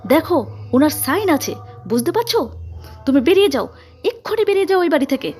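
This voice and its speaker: A domineering middle-aged matriarch from a melodramatic story, acted out by a woman narrator. She scolds in a high, loud, outraged voice and snaps out orders as if nobody may answer back. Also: sing-song, fairly quick, soft background music. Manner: shouting, scolding, commanding. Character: furious domineering middle-aged mother-in-law of a wealthy household. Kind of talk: melodramatic family story read aloud.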